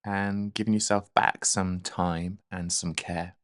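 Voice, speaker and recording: A man leading a guided meditation in a gentle, soothing, unhurried manner. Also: low voice, level tone, no echo, studio quality.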